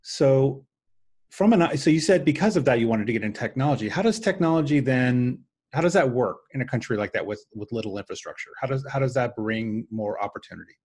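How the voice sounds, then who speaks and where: A man hosting an interview, asking thoughtful, somewhat halting questions. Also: medium pitch, lively intonation, a little mellow, slightly rough. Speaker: podcast host.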